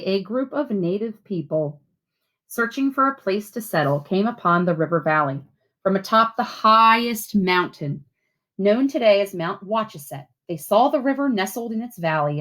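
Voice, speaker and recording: A woman reading a children's picture book aloud like a teacher, with clear phrasing and expressive, instructive delivery. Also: mid-range voice, normal speed, rough recording. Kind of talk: storybook read-aloud for children.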